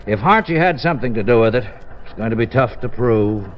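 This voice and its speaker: An actor playing a world-weary homicide lieutenant, blunt and terse, in a 1950s radio drama. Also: male voice, medium pitch, animated, fairly quick, close to an everyday voice. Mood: resigned. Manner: terse, blunt, clipped sentences. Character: world-weary, matter-of-fact male police homicide lieutenant. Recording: muffled vintage radio recording with music.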